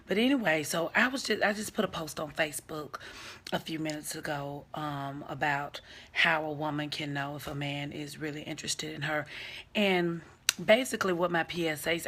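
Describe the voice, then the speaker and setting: A hoarse woman in her forties talking bluntly and sassily to viewers on a phone live stream, giving dating advice. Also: low voice, fairly bright tone. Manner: blunt, sassy, talks straight to viewers.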